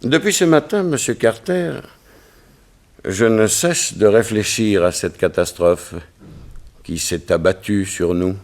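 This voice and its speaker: A man's voice: fairly low pitch, takes it slowly, darkish tone. A man playing a middle-aged, troubled and dignified politician, in the formal, earnest manner of 1960s French radio drama. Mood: distressed. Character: troubled, dignified politician father of a teenage son.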